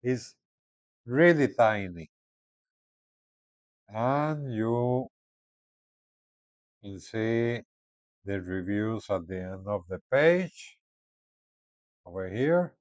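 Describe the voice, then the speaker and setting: Slow-paced man reviewing gadgets casually. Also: lowish voice, animated, no echo. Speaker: product reviewer. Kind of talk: casual unboxing and product commentary.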